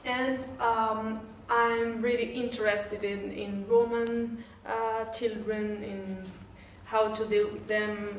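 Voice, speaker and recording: A woman from southern Spain with a Spanish accent introduces herself to a group in English as a second language, hesitantly searching for words. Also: mid-range voice. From Malaga, southern Spain. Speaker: workshop participant. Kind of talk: informal self-introduction to a group. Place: echoey room. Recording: roomy, distant mic, faint noise.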